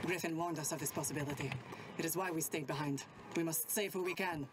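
An actress playing a calm, resolute woman super-soldier officer in a game, terse, grave and commanding. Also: low voice, quick pace, heavy background noise, lightly acted. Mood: grave, firm. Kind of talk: military game audio log acting.

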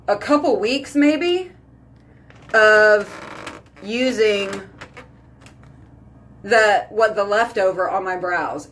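Brief: thirties woman, casual home beauty vlog, chatty and animated, phone mic at arm's length. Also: medium pitch, a little hiss or hum. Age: 30s. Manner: chatty, animated, lots of "like" and "y'all". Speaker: beauty vlogger. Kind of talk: casual chat to camera. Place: small bedroom or bathroom at home. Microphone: phone camera at arm's length.

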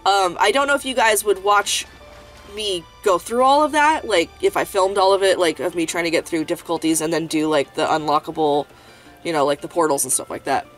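A woman's voice: on the low side, a little fast. Congested young woman rambling over gameplay. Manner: rambling, many fillers and restarts. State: congested, sniffling. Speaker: gaming channel host. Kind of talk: casual let's-play chat. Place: home room, indoors. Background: game music.

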